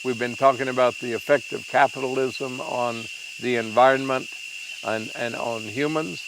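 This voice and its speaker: An older man explains his teaching in a slow, halting way, filling gaps with uh and um. His pitch sits in the middle range. Background noise runs clearly beneath him outdoors. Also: fairly even intonation. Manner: slow, halting, many uh pauses. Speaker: permaculture instructor. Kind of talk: interview to camera.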